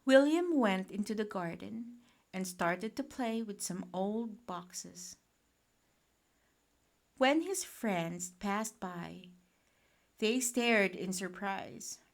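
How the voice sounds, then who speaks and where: A woman reading a children's bedtime picture book aloud in a gentle, expressive, deliberate manner. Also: medium pitch. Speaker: storyteller.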